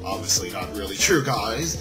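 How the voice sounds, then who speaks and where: A man's voice: on the low side, expressive, moderate pace. A man hosting a gaming video, reading a visual novel aloud and chatting jokily to viewers, stumbling here and there. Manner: jokey, stumbles while reading and addresses viewers. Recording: music playing under the voice. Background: music.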